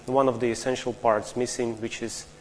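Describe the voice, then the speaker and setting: A man's voice: medium pitch, little pitch movement, moderate pace. A man gives a technical talk in a large lecture hall, his voice carrying a long reverb tail. He explains things step by step, often restarting phrases, and turns to the audience with questions. A faint hum sits beneath the room sound. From Russia. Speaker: conference speaker.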